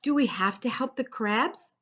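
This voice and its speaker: A small, sweet, timid turtle as a woman reads him in a storybook. He sounds innocent and unhurried, and the recording is a little dull. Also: fairly high pitch, fairly bright tone, pitched above the performer's own voice. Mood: timid, puzzled. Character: tiny timid sweet male child turtle.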